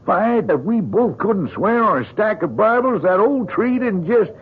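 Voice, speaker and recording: An actor playing a nervous, folksy lumberjack with a rural American accent and a hesitant manner in an old-time radio drama. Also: male voice, high voice, expressive, a little slow, husky, exaggerated voice. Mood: friendly, folksy. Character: nervous folksy backwoods lumberjack, easily cowed. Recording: tinny, hissy old radio recording.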